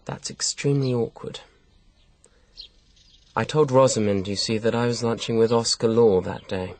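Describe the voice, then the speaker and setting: An actor playing an embarrassed, evasive British husband in a radio mystery, hedging with understatement. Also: male voice, mid-range voice, fairly even intonation, normal speed, close to an everyday voice. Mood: awkward, reluctant.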